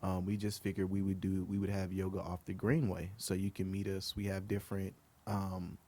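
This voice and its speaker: A man from Liberia, a guest on a podcast, talking in a relaxed, earnest way with many ums. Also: low voice, a little fast. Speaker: guest, outdoor group co-founder. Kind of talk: casual podcast interview.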